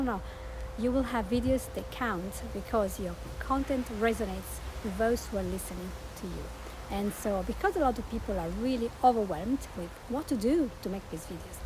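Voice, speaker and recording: A woman's voice: a little high, noisy background. A woman with an Italian accent, talking earnestly as a podcast host and life coach, promoting her show. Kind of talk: promotional monologue.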